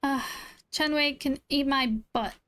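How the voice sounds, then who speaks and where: A woman's voice: sits high, a little slow, bright, forceful tone. A woman streamer giving bubbly, chatty commentary over a game playthrough and reading its text aloud. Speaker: gaming streamer.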